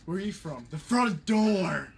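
Hoarse man swearing at a game. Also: high-pitched, lively intonation, unhurried, a little hiss or hum. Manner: frustrated, swearing, shouting at the game. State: hoarse, sick. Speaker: player on a let's play show. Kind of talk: cursing reactions while gaming.

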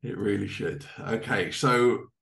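Low-voiced man hosting a casual interview. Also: unhurried, a bit bright. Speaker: podcast host. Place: living room, indoors, on a video call.

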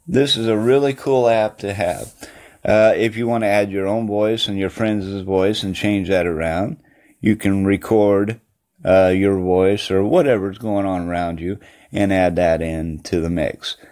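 A man giving a casual, unhurried review as a host, with halting and repetitive phrasing. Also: low-pitched, a little mellow. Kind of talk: casual app review voice-over.

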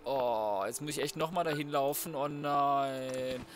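A man's voice: mid-range voice, steady tone, a little slow, slight background noise. A man giving casual, colloquial let's play commentary, swearing and reacting aloud as he plays. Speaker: gaming YouTuber. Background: video game sound and music.

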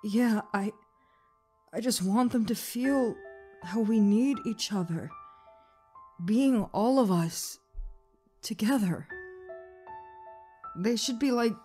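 A woman's voice: a little high, dark tone, soft background music, pitched above the performer's own voice. A woman voicing a naive young gem princess in a comic dub, hesitant and pleading, with childlike wistfulness. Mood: earnest pleading. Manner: hesitant, halting, childlike wishing.

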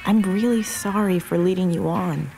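A practical woman running a restaurant in an audio drama. Her voice sits low, and she talks plainly and gently, a little guarded, with faint music behind. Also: moderate pace, gravelly. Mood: tender, regretful. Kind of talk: naturalistic audio drama acting.

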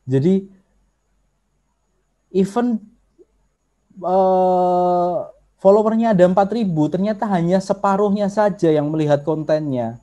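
A man's voice: sits high, takes it slowly, pauses often, mellow. A man with a Javanese accent teaching an online workshop over a video call, explaining patiently and often addressing his listeners directly. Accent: Javanese Indonesian. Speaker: workshop instructor.

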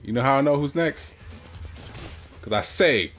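A man's voice: mid-range voice, animated, a little slow, a bit bright. A man hosting a let's play in casual banter, repeating his phrases and getting playfully defensive. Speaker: let's play host, playing the game. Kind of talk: casual gaming commentary, banter with co-host. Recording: music behind the voice. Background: video game music.

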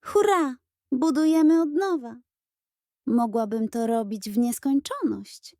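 Lady doing a cheery little girl for a kids audio story, high voice, excitable, close dry sound. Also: measured pace, no echo. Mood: eager, cheerful. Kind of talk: children's audio story dialogue.

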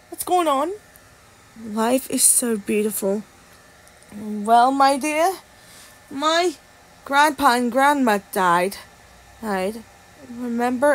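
Bewildered cartoon character, acted by a woman: unhurried, repetitive, high-voiced. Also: poor audio. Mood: halting, wistful. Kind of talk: amateur character voice lines.

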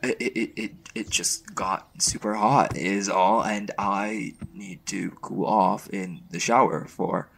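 Guy acting as a smitten college boyfriend, really gravelly, slow and halting, soft romantic asmr roleplay. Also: on the low side, steady tone, heavily acted. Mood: nervous. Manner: soft, halting, stammering when flustered.

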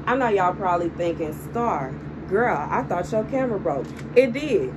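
A woman in her thirties with a Southern US accent, speaking a casual prophetic message on her channel, emphatic and repetitive with animated gestures, recorded on a phone camera at home. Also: fairly low pitch, moderate pace. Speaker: prophetic video host. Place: indoor room before window blinds. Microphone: phone camera on a desk. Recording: clear room noise behind.

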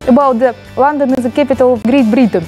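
A woman's voice: sits high, fairly even intonation, a little fast, loud music behind the voice, poor audio. A young woman co-hosting a live stream, chatting playfully and reading out giveaway winners. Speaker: stream co-host. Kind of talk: casual live stream chat.

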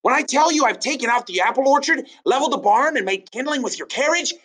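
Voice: high voice, expressive, fast, fairly bright tone, voice pushed up. A storyteller voicing a cheeky, honest colonial boy who cheerfully owns up to everything.

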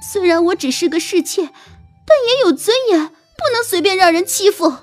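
Haughty young concubine, plaintive, animated high voice, dry recording, music behind, audio drama. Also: female voice, normal speed, no echo. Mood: indignant, whining. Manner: indignant, plaintive. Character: haughty, whiny, scheming young royal concubine.

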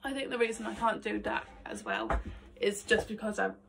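A woman's voice: medium pitch, very animated, moderate pace, creaky, heavy background noise. A woman in her twenties talking casually and self-deprecatingly to camera in an informal vlog style. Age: 20s. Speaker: aspiring actress. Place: small attic bedroom, indoors. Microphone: phone camera a short distance away.

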